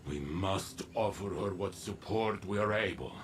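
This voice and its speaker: Game cutscene alien warrior leader: low-voiced, unhurried, weary and protective, grave halting delivery. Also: male voice, slight background noise. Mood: solemn, resolute. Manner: slow, grave, deliberate, halting. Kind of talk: dramatic game cutscene acting.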